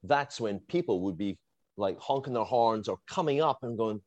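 A man with a Scottish accent, casually telling a lively story as a guest and laughing and swearing along the way. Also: on the low side, moderate pace, dry recording, lo-fi sound. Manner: animated, swears, laughs while telling, acts out quotes.

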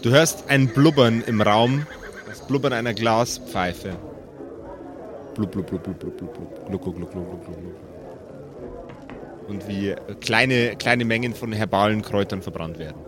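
A man's voice: lowish voice, a little slow, background noise. A man narrating an improvised tabletop game as game master, often repeating words as he feels his way forward.

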